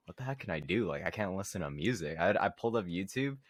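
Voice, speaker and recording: Man, young adult, low-pitched, dry close sound, slangy podcast banter. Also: animated, fast talker, no echo. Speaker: podcast co-host. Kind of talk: casual gamer chat.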